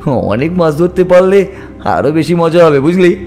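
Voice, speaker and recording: A man's voice: a little high, big pitch swings, moderate pace, darkish tone, faint music behind. A man playing a keen, questioning young village boy in an audio story. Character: keen village boy asking his father. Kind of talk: Bengali audio story character dialogue.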